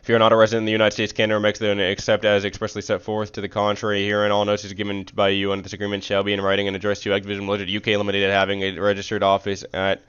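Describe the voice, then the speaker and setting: Male streamer, lowish pitch, clean smooth tone, reading aloud, casual slang, occasional stumbles and self-corrections, slightly dull recording. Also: completely flat delivery, racing, hardly any pauses, a bit bright. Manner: stumbles over words and corrects himself; slangy casual speech with frequent "bro". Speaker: live streamer. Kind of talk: reading a legal document aloud on a livestream, with casual asides.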